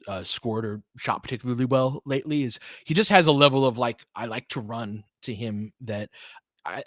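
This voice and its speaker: A man's voice: mid-range voice, fairly bright tone, dry recording. Man calling basketball play-by-play. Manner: conversational, partisan, reacting openly to the action. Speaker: play-by-play commentator. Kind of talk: fan-side basketball play-by-play commentary.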